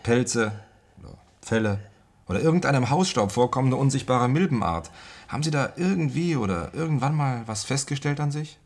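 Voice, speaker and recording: Radio play casting: probing, patient physician, raspy edge, animated intonation, hesitant explanatory delivery. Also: male voice, mid-range voice, a little fast. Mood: patiently probing. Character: patient, probing male hospital doctor, calmly explaining. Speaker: doctor. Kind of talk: German radio play acting.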